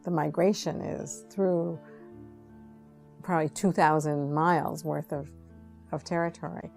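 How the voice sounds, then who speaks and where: A woman's voice: sits low, fairly even intonation, measured pace, gravelly. A woman speaking reflectively in a documentary interview about someone she sponsored. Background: soft music.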